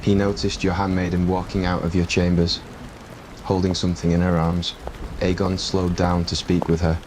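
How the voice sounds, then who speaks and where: A man's voice: low-pitched, monotone, moderate pace. A man reading scripted narration for an ASMR roleplay story. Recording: clear rain noise behind voice. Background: rain ambience.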